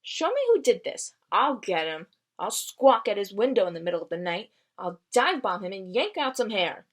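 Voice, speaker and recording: A woman voicing a feisty, protective female crow with a blunt, excitable, slangy manner in a storybook reading. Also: mid-range voice, normal speed. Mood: indignant.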